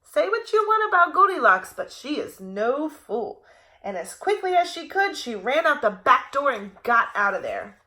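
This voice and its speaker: Very animated, high-pitched woman reading a storybook. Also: moderate pace. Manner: expressive, playfully wry delivery. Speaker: teacher reading aloud to preschoolers. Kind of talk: animated picture-book read-aloud for young children.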